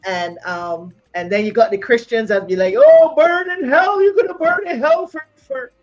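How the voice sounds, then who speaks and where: A woman from Barbados, a singer and entrepreneur, talking in an animated, casual chat full of "you know". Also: high voice, moderate pace, dull audio.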